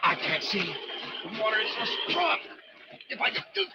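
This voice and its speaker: An actor playing a desperate lighthouse keeper narrating a storm ordeal in an intense, breathless old-time radio drama style. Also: male voice, high-pitched, big pitch swings, moderate pace, heavy background noise. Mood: frantic straining. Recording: muffled old radio recording with dramatic music.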